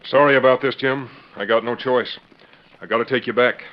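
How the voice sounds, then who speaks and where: A man's voice: mid-range voice, steady tone, fairly quick, background noise, close to an everyday voice. Man as a plainspoken western jail guard, muffled radio. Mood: regretful. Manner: folksy, matter-of-fact cowboy speech. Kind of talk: 1940s radio western acting. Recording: dull old radio recording.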